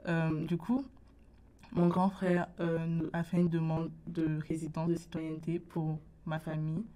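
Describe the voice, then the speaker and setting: Young woman, low and flat. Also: measured pace. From Burkina Faso. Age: late teens. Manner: soft-spoken, searches for words. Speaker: interview guest. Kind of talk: radio interview. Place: radio studio.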